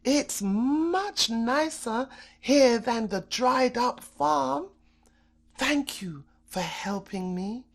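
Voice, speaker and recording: A woman's voice: a little high, lively intonation, drawn-out words, full character voice. A woman voicing a timid, grateful male sheep in a storybook, speaking in an earnest, anxious manner.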